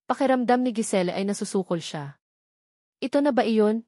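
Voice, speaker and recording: A woman's voice: on the high side, brisk, warm tone, dry recording, studio quality. A woman narrating a scripted story dramatically as an audiobook narrator.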